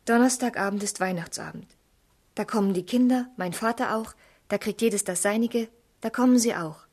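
An actress playing a tender, troubled young woman in a radio drama, with a breathy, husky voice and earnest delivery. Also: a little high, normal speed, a little mellow. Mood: nervous pleading. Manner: earnest, pleading, sometimes dropping to a whisper. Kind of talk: German literary radio drama acting.

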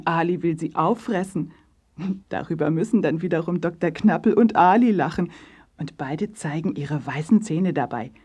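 A woman narrating a scripted story for children, addressing them directly as a measured storyteller. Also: sits low, dark tone, breathy edge.

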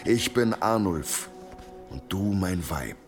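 Cold demonic beast: deep-set, commanding, dynamic delivery for audio drama. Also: male voice, lively intonation, slow. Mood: cold, insistent. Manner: commanding, menacing. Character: demonic beast in a dead husband's body, cold, domineering. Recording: music under the voice.

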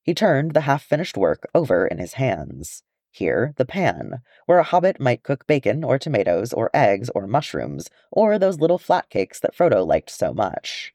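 A man reading a fantasy story aloud as expressive scripted narration. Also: mid-range voice, moderate pace, no room sound, clean studio sound. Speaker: audiobook reader of fan fiction.

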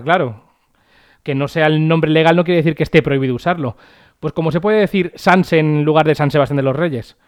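A man's voice: on the high side, darkish tone, clean tone, dry recording. A podcast host with a Madrid Spanish accent, a man explaining things in a wry, chatty way and laughing at his own jokes. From Madrid, Spain.

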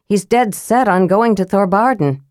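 A woman's voice: mid-range voice, normal speed, darkish tone, no room sound, studio quality, natural voice. A woman voicing a good-natured young male warrior who talks in an easygoing, reasonable and slightly weary way. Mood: earnest.

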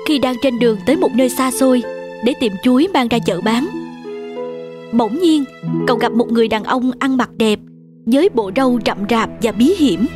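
A woman storyteller reading a scripted fairy tale for children. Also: fairly high pitch.

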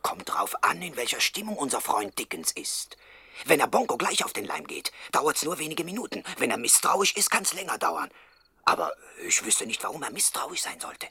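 An actor playing an eager gangster henchman in a radio play, brisk and emphatic as he talks to his boss. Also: medium pitch, very animated, pushed and bright, slightly rough, no room sound, heavily acted. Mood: confident. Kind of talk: 1960s German radio play acting.

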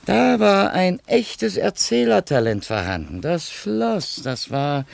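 A chatty polite book editor, 70s german radio play, very animated, bit of fry in the voice. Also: male voice, sits high, takes it slowly, no echo, heavily acted. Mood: enthusiastic. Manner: effusive, courteous, articulate. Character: talkative male publishing editor, enthusiastic and courteous. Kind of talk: 1970s German radio drama acting.

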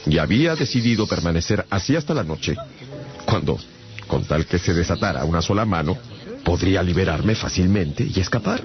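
A man narrating a radio drama as a traveller recounting his adventure, in a theatrical, deliberate storytelling manner. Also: low-pitched, lively intonation. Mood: measured suspense. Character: adult male traveller recounting his strange captivity. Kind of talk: dramatised first-person audiobook narration. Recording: dull radio audio over clear music.

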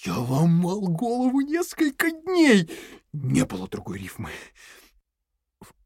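Syme, a jittery brooding intellectual prisoner. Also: male voice, high voice, wildly swooping pitch, slow, slightly rough, no room sound, studio quality, full character voice. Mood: nervous musing. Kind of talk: audio drama acting.

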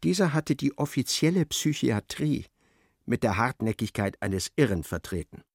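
A man narrating a literary radio drama in a measured, articulate, storytelling way. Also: mid-range voice, very animated, vocal fry, husky, no echo, clean studio sound, exaggerated voice.

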